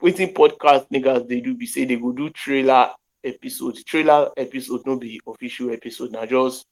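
Gravelly young Nigerian man on a call. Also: mid-range voice, fairly quick. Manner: joking, mixes in Yoruba phrases. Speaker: podcast host. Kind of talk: casual chat in pidgin and English. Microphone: internet call.

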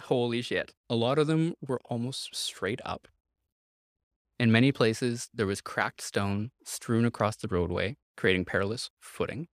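A man's voice: mid-range voice, a little slow, dry recording, studio quality. A man hosting a podcast, telling a history story in a casual, comedic way with wry asides and frequent chuckles.

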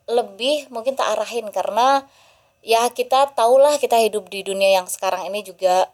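Woman, Javanese accent, radio announcer, fairly high voice, relaxed, laughs often. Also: moderate pace. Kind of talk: casual radio chat.

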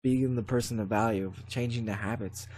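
A man's voice: lowish voice, fairly even intonation, fairly quick, darkish tone, slight background noise, poor audio. A man hosting his own channel, talking through self-help ideas in a casual, earnest way with many restarts. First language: Polish is not his first language. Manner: earnest, reflective, many restarts and "you know". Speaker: channel host. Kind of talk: casual spiritual vlog talk.

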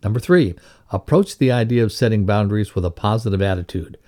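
A man's voice: on the low side, expressive, fast, warm tone. A man reading a scripted instructional lesson aloud as a narrator. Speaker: reader of a leadership values lesson.

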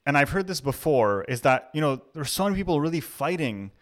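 A man's voice: a little high, sing-song, brisk. A man born in Canada hosting an interview, talking thoughtfully and building long questions around analogies. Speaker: interview host. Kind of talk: conversational interview.

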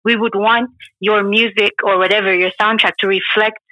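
A woman's voice: medium pitch, steady tone, normal speed, dry recording, dull audio. A woman on a podcast panel, talking in a defiant, sassy way with rhetorical questions. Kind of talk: casual panel chat. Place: studio.

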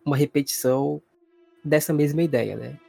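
A man's voice: a little high, steady tone, normal speed, creaky, dark tone. A man with a Brazilian accent narrating a tabletop horror game as game master in a casual, conversational way. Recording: music playing behind the voice.

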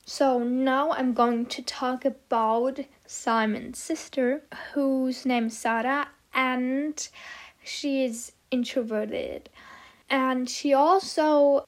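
A woman with a high, light voice reviews a TV series in a measured, unhurried monologue. Her phrasing is earnest and a little stiff, as if carefully prepared. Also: fairly even intonation. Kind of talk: scripted-sounding review monologue.

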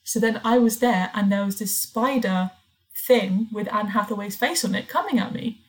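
A woman hosting a podcast, telling rambling stories in a relaxed way with dry teasing humour. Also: medium pitch, moderate pace, rough recording. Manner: storytelling, dry teasing, laughs mid-sentence. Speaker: podcast host. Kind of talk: casual chat.

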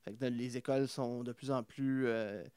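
Podcast host, rambling questions. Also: male voice, medium pitch, fairly even intonation, moderate pace, mellow, clean tone, no echo. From Ontario. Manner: rambling questions with stumbles and fillers. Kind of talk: casual interview.

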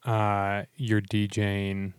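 Hoarse low-voiced man, casual. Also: monotone, takes it slowly, no echo. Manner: laid-back, joking, trails off mid-sentence. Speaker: podcast co-host. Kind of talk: casual chat.

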